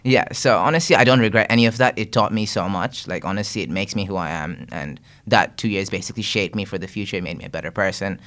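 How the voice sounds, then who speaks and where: A man's voice: fairly low pitch, fast talker, few pauses, fairly bright tone. A young man from Bangladesh, a guest content creator, talking earnestly and reflectively in a casual interview, with frequent fillers and restarts. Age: young adult.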